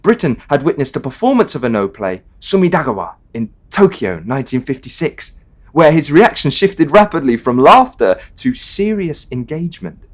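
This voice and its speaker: Measured man reading a literary essay. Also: a little high, very animated. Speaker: reader of an essay. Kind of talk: scripted literary narration.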